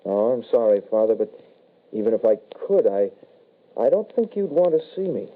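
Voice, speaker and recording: An actor playing an undead shapeshifting son, calmly menacing and apologetic, in old-time radio horror style. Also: male voice, lowish voice, moderate pace. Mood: apologetic menace. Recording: tinny, band-limited vintage radio broadcast.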